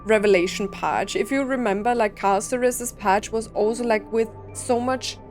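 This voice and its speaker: A woman's voice: on the high side, normal speed, a little mellow. A woman gaming streamer giving casual reaction commentary, thinking aloud as she goes. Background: game music.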